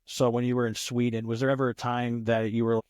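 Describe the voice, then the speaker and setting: A young man hosting a podcast, asking long, rambling questions peppered with "like" in a relaxed conversational way. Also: mid-range voice, a little fast, clear, resonant tone, dry recording, clean studio sound. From Nebraska, United States. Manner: rambling, heavy use of "like". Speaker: podcast host. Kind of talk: casual interview chat.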